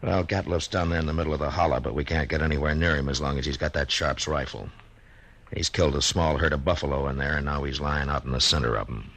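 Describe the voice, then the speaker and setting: An actor playing a calm, grim frontier marshal who narrates and leads in a 1950s radio drama, measured and commanding. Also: male voice, a bass voice, heavily acted. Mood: steady. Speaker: narrator and lead. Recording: old radio with loud music bed.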